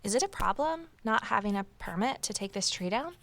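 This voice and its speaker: An actress playing a curious, eager former journalist in an audio drama, with a conversational, inquisitive delivery. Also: female voice, mid-range voice, animated, brisk, a bit bright, dry recording. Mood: alert, intrigued. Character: curious female ex-journalist, eager new conservation worker. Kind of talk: audio drama dialogue and first-person narration. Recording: clear background noise, outdoor ambience.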